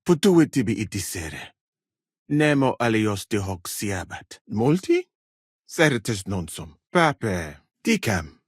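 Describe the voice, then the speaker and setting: A man playing a courteous, learned foreign physician in a medieval video game, speaking in measured, formal phrases with calm thoughtfulness. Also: fairly low pitch, husky, no room sound, clean studio sound, full character voice. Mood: earnest, reflective.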